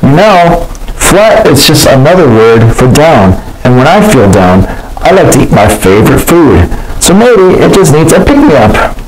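An eager little cartoon plane from a storybook, voiced by a man. The voice sits high and swings about with childlike earnestness. There is clear noise on the recording. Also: very animated, a little slow, low-quality recording. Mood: earnest.

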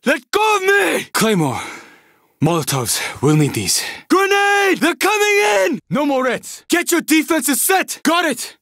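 A man voicing an urgent young male survivor in a zombie video game, giving terse, forceful combat callouts. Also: extremely high pitch, dry recording, clean studio sound, full character voice. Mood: steady, focused.

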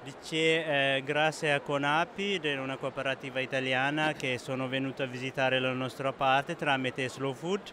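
A man's voice: medium pitch, flat delivery, normal speed, few pauses. An Ethiopian man, Amharic native, interpreting into Italian at a trade fair in a hesitant, filler-heavy way, into a handheld mic. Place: busy indoor trade fair hall. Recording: clear background noise.

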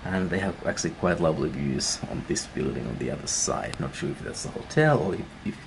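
Very low-voiced man, halting room tour. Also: lively intonation, gravelly, noisy background. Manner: halting, repeats words and trails off. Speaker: travel blogger reviewing a hotel room. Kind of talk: casual unscripted walk-through narration. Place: indoors, moving around a hotel room. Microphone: handheld camera, operator behind it.

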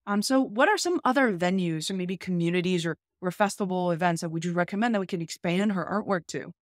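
A woman's voice: on the low side, expressive, quick pace, no echo, studio quality. A woman around her forties hosting a conversational tech demo from a room at home. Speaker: YouTube host. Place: small home room, indoors.

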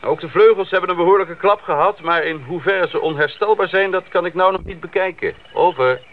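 An actor playing a young, dutiful missionary bush pilot in a radio drama, heard over an aircraft radio. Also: male voice, high-pitched, brisk. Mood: matter-of-fact. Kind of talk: 1980s Dutch radio drama acting. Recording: muffled crackly radio link.

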